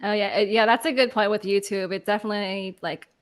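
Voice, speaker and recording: Woman, average pitch, panel moderator, casual, informal, jokey delivery. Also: steady tone, fast talker.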